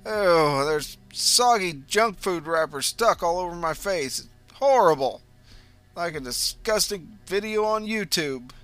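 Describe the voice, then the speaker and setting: Man playing a panicked greedy landlord ghost, high and loud, bright edge. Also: expressive, a little slow, faint music behind. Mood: frantic. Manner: loud, frantic, questioning. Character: greedy middle-aged male landlord ghost, bewildered and frantic.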